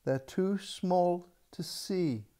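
An elderly man reading the part of a stern palace maid giving deliberate warnings in a storybook. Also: high voice, mellow. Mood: grave warning.

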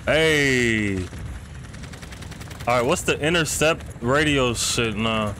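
A man's voice: mid-range voice, slow, bright, forceful tone, a little hiss or hum. A man giving casual livestream reactions to a game in slangy, repetitive phrases with plenty of swearing. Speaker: game streamer.

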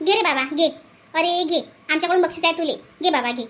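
A woman voicing a warm-hearted older cartoon village auntie, gushing and insistent, repeating herself in rustic Vidarbha Marathi. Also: extremely high pitch, quick pace. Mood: coaxing, insistent. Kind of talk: comedy cartoon dialogue.

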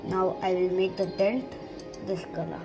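Slow-talking woman teaching digital drawing. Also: medium pitch, fairly even intonation. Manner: simple instructions in short broken phrases. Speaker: art teacher. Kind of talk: step-by-step drawing tutorial. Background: background music.

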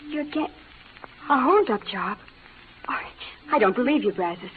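High-voiced, lovestruck frontier wife. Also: female voice, expressive. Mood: shocked disbelief. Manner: halting, emotional, pleading. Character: earnest, lovestruck young married frontier woman. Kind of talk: 1940s radio western acting. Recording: old noisy radio recording, phone-like band.

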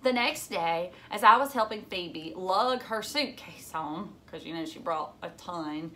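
A woman's voice: on the low side, expressive, unhurried, sharp tone, a little hiss or hum. A woman in her thirties reading a children's novel aloud like a teacher, expressively, with casual asides. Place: furnished living room at home.

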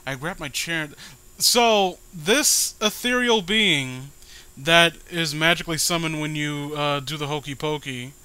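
A man's voice: a little high, measured pace, pushed and bright, clean tone, low-quality recording. A man reading a horror story aloud with dramatic delivery and sarcastic commentary. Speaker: host reading amateur horror stories.

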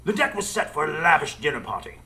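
An actor playing an earnest gentleman narrator, telling a detective tale formally in a live radio drama. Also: a little high, sing-song, fast talker. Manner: formal storytelling. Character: Doctor Watson, earnest literary gentleman narrator.

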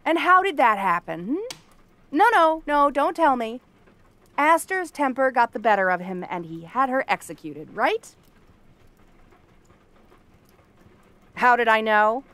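A woman playing a cranky, cursed frog-girl princess in an ASMR fantasy roleplay, haughty, sardonic and theatrical with a soft spot underneath. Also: on the high side, big pitch swings, normal speed. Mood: mocking, dismissive.

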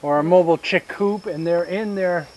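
A man in his fifties with a Southern US accent, giving a casual outdoor tour of his homestead and explaining each step with pauses. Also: high voice, a little mellow, slight background noise. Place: outdoors on rural land by a pine forest. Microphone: action camera held at arm's length.

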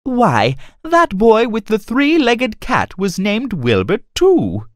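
A woman playing a cheerful, polite young male storyteller in an animated children's tale, with a courteous, theatrical manner. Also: a little high, slow, no echo, studio quality, exaggerated voice. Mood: friendly, chatty.

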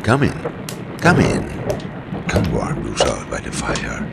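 An actor playing a sinister, courteous storyteller host in an old-time radio horror play, with a theatrical, ingratiating manner. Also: male voice, rumbling low, huge pitch swings, slow pace, vocal fry, exaggerated voice. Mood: eerily welcoming. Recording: loud storm noise behind voice. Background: thunderstorm.

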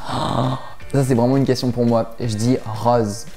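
A young man from southern France with a warm, mellow tone, answering this-or-that questions in a small room. He hesitates with plenty of fillers and laughs between answers. Recorded close on a lapel mic with faint music underneath. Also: medium pitch, little pitch movement, takes it slowly. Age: young adult. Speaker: guest youtuber. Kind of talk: casual quick-fire question game.

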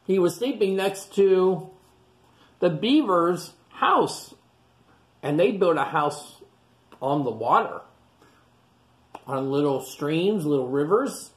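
Slow, animated man reading to kids. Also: high-pitched. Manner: animated, explains the story to children between pages. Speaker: teacher reading a picture book aloud. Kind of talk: children's storytime read-aloud. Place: classroom, indoors. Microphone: webcam at close range.

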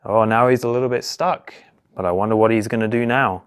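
A man reads a story aloud to young children in simple, expressive narration, posing questions and exclaiming as he goes. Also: mid-range voice, brisk, a little hiss or hum. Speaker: police constable reading a children's story. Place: small indoor office or home room.

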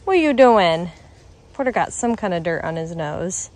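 A woman's voice: lowish voice, expressive, moderate pace, darkish tone. An American woman in her thirties chatting casually to a handheld phone as a parent vlogger. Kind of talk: casual vlog chat. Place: indoors at home, then outdoors in yard and driveway. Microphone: handheld phone camera. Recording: clearly noisy background.

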